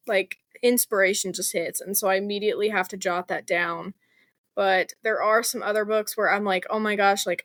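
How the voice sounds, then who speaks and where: A woman's voice: medium pitch, fairly bright tone, dry recording. A woman speaking as a guest author in a casual interview, chatty and informal, with plenty of "like" and "you know".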